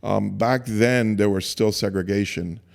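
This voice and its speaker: Give me a soft-spoken man from Cuba, about fifties, with a low voice, telling his story in halting, restarting phrases. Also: steady tone, vocal fry. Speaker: church staff guest. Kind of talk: casual interview.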